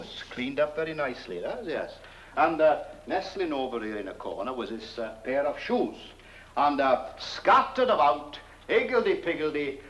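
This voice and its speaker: A man's voice: a little high, a bit bright. A man playing a bumbling, deferential British village constable, hesitant, rambling and chatty, in comic horror film acting. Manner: hesitant, rambling, throat-clearing, polite. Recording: dull old film soundtrack, faint hiss.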